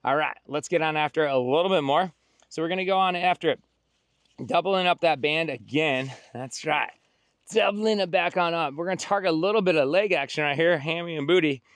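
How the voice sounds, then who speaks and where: A man's voice: fairly high pitch, a little fast, fairly bright tone, clear, resonant tone, dry recording. A middle-aged man coaching a workout outdoors, cueing form, counting reps and cheering people on. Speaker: fitness instructor. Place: outdoors on a beach.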